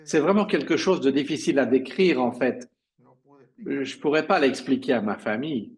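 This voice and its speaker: Male conference interpreter, halting delivery. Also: medium pitch. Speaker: simultaneous interpreter. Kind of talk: live interpreting of conference speeches.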